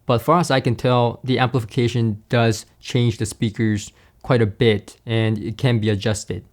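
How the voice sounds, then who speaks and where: A young man hosting a product review in a conversational, measured, explanatory way, recorded in a small treated studio room. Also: medium pitch, flat delivery. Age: young adult. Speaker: video host and audio equipment reviewer. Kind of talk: presenter-style product review to camera.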